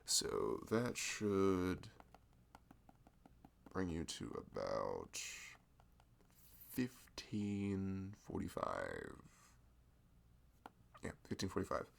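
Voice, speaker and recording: A man's voice: low voice, wildly swooping pitch, drawn-out words, creaky, pauses often, exaggerated voice. A man playing a sweet, chatty young florist with a crush in an audio roleplay, talking in a flustered, self-deprecating ramble. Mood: easygoing. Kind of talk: casual ASMR-style audio roleplay spoken to the listener.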